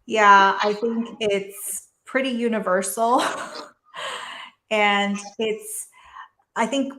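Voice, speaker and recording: A woman's voice: mid-range voice, steady tone, very slow, fairly bright tone, slight background noise. Woman guest from Taiwan, reflective and earnest, speaking on a video call in a roomy, echoey space. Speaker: guest, physician and coach. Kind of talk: conversational interview. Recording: roomy echo.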